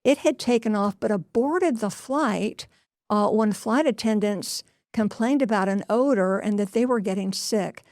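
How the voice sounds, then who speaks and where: A woman delivers a news report in a mid-range voice. She explains things deliberately and often restates her points. The recording is dry, with very little room sound. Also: mid-range voice, darkish tone, no room sound. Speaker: transportation news correspondent.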